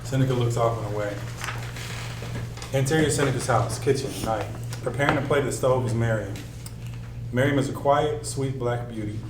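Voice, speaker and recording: A man's voice: mid-range voice, moderate pace, long pauses. A man reading stage directions as scripted narration at a table read. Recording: echoey room, distant mic, clear noise.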